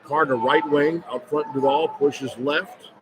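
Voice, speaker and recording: A man's voice: on the high side, fairly even intonation, a little slow, crowd noise. A man doing live radio play-by-play of a basketball game as the announcer. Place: courtside in a large indoor arena. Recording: noisy live arena broadcast.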